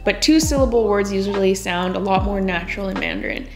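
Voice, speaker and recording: A woman's voice: mid-range voice, quick pace, music in the background. A woman hosting her language channel. She reviews a course in a casual, upbeat way and reads out a tutor's corrections with her own remarks. Her first language is Cantonese. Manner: upbeat, reads corrections aloud and comments on them.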